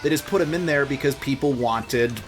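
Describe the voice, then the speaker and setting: A man talks casually as a co-commentator on a game playthrough. His voice sits at a middle pitch, and he explains fan trivia and opinions at length. Music plays faintly behind him. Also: normal speed. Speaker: let's play co-commentator. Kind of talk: casual gaming commentary chat.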